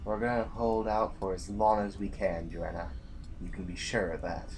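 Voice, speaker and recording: An actor playing a grim, stubborn general in a science fiction audio drama, speaking in formal, solemn phrases. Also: male voice, fairly low pitch, flat delivery, moderate pace, darkish tone, faint music behind, natural voice. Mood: grim, resolute. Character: grim, stubborn male military general facing a last stand.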